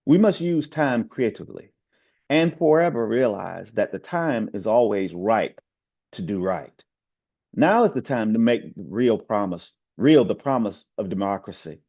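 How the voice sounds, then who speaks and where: A man from the community reciting a written speech with oratorical emphasis, drawn-out words and falling phrase endings, in a dull, slightly lo-fi recording. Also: mid-range voice, warm tone. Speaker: community member reading aloud.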